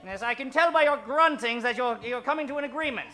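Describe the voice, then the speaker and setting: A man's voice: extremely high pitch, quick pace. A man playing a pompous, condescending villainous treasure hunter in an old-time radio play. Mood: haughty. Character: haughty, scheming villainous treasure-hunting adventurer.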